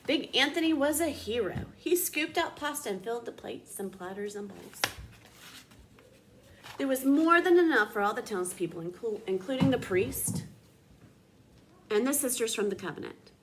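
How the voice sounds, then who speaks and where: A woman reading a children's storybook aloud in an expressive, dramatic teacher's manner. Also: fairly high pitch, normal speed, noisy background.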